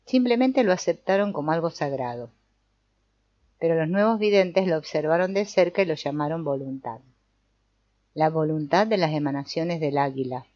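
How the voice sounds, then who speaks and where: A woman voicing an old male sorcerer teacher, calm and didactic, speaking in a measured, explanatory way with the odd small restart. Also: sits low, no room sound, lightly acted. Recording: dull, muffled audio.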